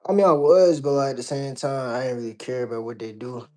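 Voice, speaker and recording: A man's voice: medium pitch, fast, warm tone. A man from Georgia in the United States, chatting casually as an interview guest, blunt and slangy with plenty of swearing. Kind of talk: casual chat.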